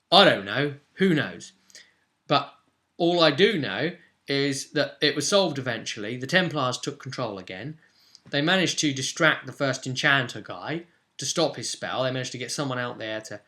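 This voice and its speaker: A man's voice: mid-range voice, sharp tone. A man hosting a vlog as a game master, recapping a tabletop roleplay session in a rambling, blunt, conversational way. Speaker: game master and video host.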